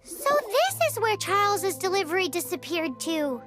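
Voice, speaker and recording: A woman's voice: very high-pitched, big pitch swings, normal speed, loud music behind the voice. A woman voicing a tiny, chirpy fairy companion girl who speaks bluntly and refers to herself by name.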